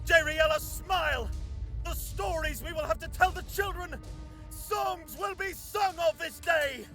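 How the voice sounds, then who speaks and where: A man's voice: extremely high pitch, measured pace, sharp tone, exaggerated voice. A man playing a valiant, boastful elf warrior in an audio drama, declaiming exuberantly over music. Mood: exultant defiance. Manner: exuberant, declamatory battle cries. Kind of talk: scripted audio drama acting. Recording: music behind the voice.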